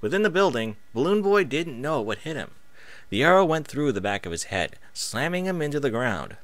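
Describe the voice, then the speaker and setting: A man's voice: mid-range voice, sing-song, moderate pace, fairly bright tone, no echo. A man narrating an action story as a scripted, dramatic reading with emphatic phrasing. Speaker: narrator reading fan fiction aloud.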